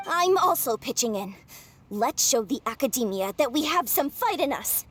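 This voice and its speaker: A woman's voice: sits high, very animated, moderate pace, fairly bright tone, slightly rough, faint music behind, exaggerated voice. A woman voicing a spirited, determined troupe member who rallies the others in a game cutscene. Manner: determined, rousing.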